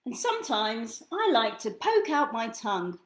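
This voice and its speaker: A young woman reading picture books aloud to children in an expressive, theatrical way, drawing out key words. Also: fairly high pitch, a little hiss or hum. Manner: theatrical, deliberate, drawn-out delivery of key words. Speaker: children's storytime reader. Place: small indoor room at home.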